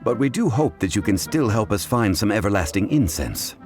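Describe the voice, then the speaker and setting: A composed, learned gentleman from a video game. The low voice is formal and measured yet varied in intonation, courteous with a wry edge. Music sits faintly behind. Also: big pitch swings. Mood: polite, wry. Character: composed, erudite gentleman consultant, courteous and unhurried. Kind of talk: scripted video game dialogue. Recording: game dialogue over background music.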